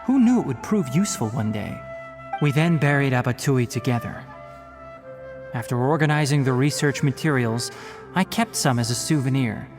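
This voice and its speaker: A man's voice: mid-range voice, animated, normal speed, over music, natural voice. A man voicing a stern, scholarly young male forest ranger who speaks in a precise, lecturing way, in a game cutscene. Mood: earnest. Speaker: forest watcher.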